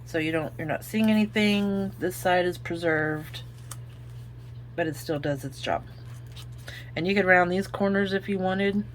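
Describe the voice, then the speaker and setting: A woman's voice: on the low side, unhurried, long pauses, slight background noise. A woman with an Oklahoma accent, chatting her way through a crafting tutorial and thinking aloud as she works. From Oklahoma, United States. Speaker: craft video host.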